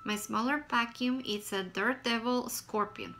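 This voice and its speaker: A woman in her thirties with a Guatemalan Spanish accent talks through a casual review in a small room at home. She speaks plainly and hesitantly, pausing on fillers as she goes, recorded close to the camera. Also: fairly high pitch, sharp tone, slight background noise. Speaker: vlogger.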